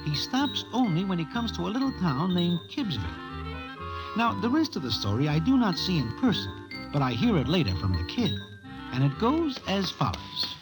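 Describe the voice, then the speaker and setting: High, lively New storyteller. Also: male voice, moderate pace, over music, poor audio. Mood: wry. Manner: deadpan, mannered present-tense slangy diction. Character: wry streetwise male Broadway storyteller in racetrack crowd. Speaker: narrator. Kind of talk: 1940s radio narration.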